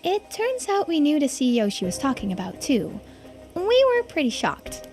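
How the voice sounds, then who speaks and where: A woman's voice: sits high, wildly swooping pitch, normal speed, darkish tone, soft background music. A woman acting out video game dialogue as she reads it aloud on a playthrough. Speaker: streamer voicing a game playthrough.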